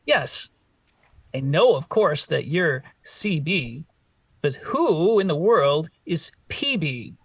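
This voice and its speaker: A man playing a mild, earnest, puzzled gentleman with a hesitant delivery in a vintage radio comedy. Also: a little high, big pitch swings, slow, husky, no echo, distant mic, full character voice. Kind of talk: 1940s-50s radio comedy acting.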